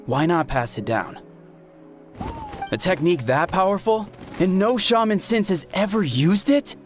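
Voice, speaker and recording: A man's voice: sits high, lively intonation, unhurried, soft background music. A man voicing a dismissive, sarcastic skeptic in a video game, talking with condescension. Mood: scornful, challenging. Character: dismissive male skeptic, scornful of old legends.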